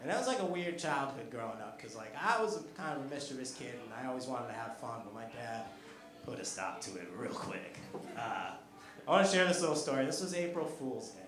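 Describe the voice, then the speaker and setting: A man's voice: medium pitch, animated, fairly quick. An American man in his thirties doing a chatty stand-up set, teasing the audience on a comedy club stage. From United States. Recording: echoey club room with audience laughter.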